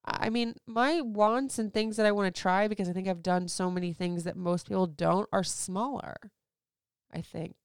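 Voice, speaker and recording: A woman's voice: mid-range voice, steady tone, moderate pace, clear, resonant tone, no room sound, clean studio sound. A woman in her thirties from Florida, chatting candidly as a host answering listener questions, rambling with lots of "like"s. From Florida, USA. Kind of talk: casual chat.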